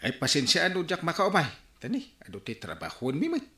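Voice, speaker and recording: A man's voice: fairly high pitch, wildly swooping pitch, brisk, bright, forceful tone, full character voice. A man playing a busy village uncle with an Ilocano accent in a radio comedy drama. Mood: brisk. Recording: roomy, echoing studio sound.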